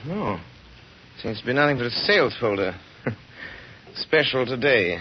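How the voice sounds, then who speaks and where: A man playing a suave, composed gentleman sleuth, calm and knowing, in old radio drama style. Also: mid-range voice, unhurried. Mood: urbane. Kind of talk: 1930s radio drama acting. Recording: muffled, hissy old radio recording.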